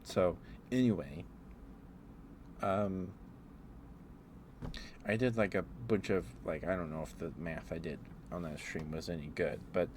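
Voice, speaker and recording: A man streaming a casual, rambling ASMR chat, speaking in a halting, musing way as if thinking aloud. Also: sits low, fairly even intonation, darkish tone, a little hiss or hum. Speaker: livestreamer.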